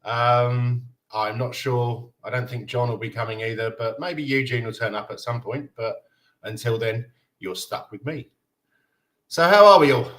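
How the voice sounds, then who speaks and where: A man's voice: medium pitch, normal speed, fairly bright tone. A man from Denmark hosting a casual football fan livestream, chatting in a rambling, matey way. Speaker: livestream host.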